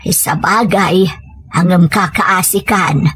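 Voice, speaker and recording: A woman with a Filipino accent playing a sympathetic older village neighbour in an audio drama, chatty and expressive. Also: on the low side, takes it slowly, husky, full character voice. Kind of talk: audio comedy-drama acting.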